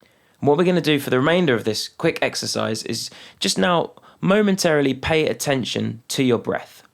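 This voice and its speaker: A man leading a guided mindfulness meditation, speaking calmly and unhurriedly with long pauses between phrases. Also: mid-range voice, creaky.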